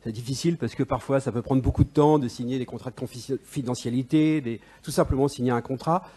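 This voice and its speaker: A man pitching his startup on stage, hesitant, with many fillers and restarts. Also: steady tone, a little fast, warm tone, slightly rough. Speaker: startup founder. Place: event stage.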